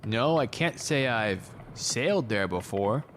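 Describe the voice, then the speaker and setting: An actor playing a cheeky, casual sailor in an audio drama, talking in an offhand modern way. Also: male voice, mid-range voice, lively intonation, takes it slowly, a little hiss or hum. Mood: offhand, skeptical. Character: cheeky, casual male sailor needling his superior.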